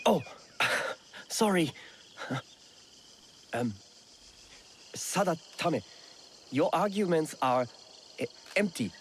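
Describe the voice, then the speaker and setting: An actor playing an earnest young man in a video game cutscene, speaking haltingly and stumbling over his words. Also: fairly high pitch, animated, a little hiss or hum. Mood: sheepish.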